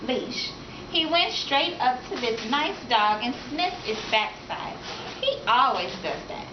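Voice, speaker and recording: Lady doing chatty warm-hearted little girl reading a story, far from the mic with noise around. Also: high-pitched, sing-song, takes it slowly, full character voice. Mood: chatty, frank. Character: cheerful, outspoken, warm-hearted young girl. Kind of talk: storybook read-aloud. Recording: echoey room from far, noisy, dull.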